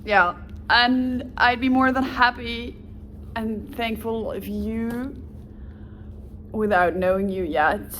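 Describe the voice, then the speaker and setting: A woman's voice: on the high side, takes it slowly, pauses often, a bit bright, a little hiss or hum. A woman with a German accent, whose first language is German, introducing herself in a casual, rambling way with restarts and small laughs. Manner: rambling with self-corrections and light laughs. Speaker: volunteer applicant. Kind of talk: casual self-presentation.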